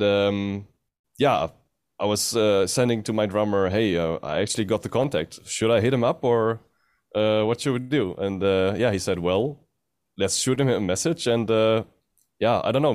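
A man's voice: on the low side, normal speed, darkish tone. A Dutch-speaking man from a band, talking hesitantly with many fillers in a casual interview. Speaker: band member.

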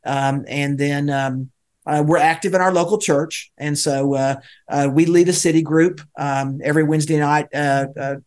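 An American man with a fairly high voice answers interview questions conversationally. His phrasing is halting and hesitant, broken by many pauses as he searches for how to put things. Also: fairly even intonation, darkish tone. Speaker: interview guest, volunteer church ministry leader.

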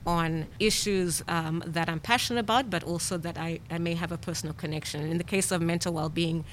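A woman's voice: lowish voice, fairly even intonation, fairly quick, a little hiss or hum, poor audio. A woman from Zimbabwe, a poet and storyteller, talking reflectively in conversation and asking herself questions. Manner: thoughtful, questioning, frequent murmured agreement.

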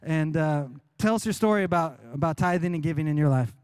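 Pastor guy preaching, higher voice, super smooth and dry. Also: fairly quick, a little mellow, no room sound. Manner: earnest, explanatory preaching. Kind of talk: sermon. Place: church.

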